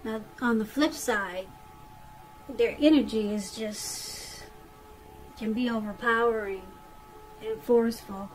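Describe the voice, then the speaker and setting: A woman's voice: on the high side, slow pace, long pauses, soft background music, low-quality recording. A woman giving a conversational tarot reading straight to the listener, repeating phrases for emphasis. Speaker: tarot reader.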